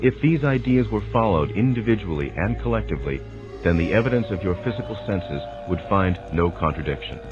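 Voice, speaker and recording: Deep-voiced man reading spiritual teachings over music. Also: expressive, fairly quick, muffled recording. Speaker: narrator.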